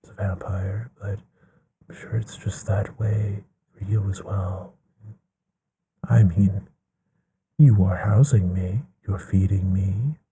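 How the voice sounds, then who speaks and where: A genteel, bookish vampire, acted by a man, speaks in a low, very breathy hush that often drops to a whisper. His delivery is soft, mellow and slow, musing tenderly with long pauses, as an intimate ASMR bedtime roleplay. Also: fairly even intonation, exaggerated voice. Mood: tender, soothing. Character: courtly, bookish male vampire, gently affectionate.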